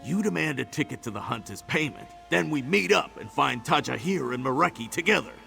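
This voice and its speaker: A brawny orc brawler with a gravelly, bright, forceful voice that swings widely in pitch. Coarse and teasing, he boasts about himself in a video game scene. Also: animated, fairly quick, soft background music, full character voice. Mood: gruff. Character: gruff boastful male orc ally.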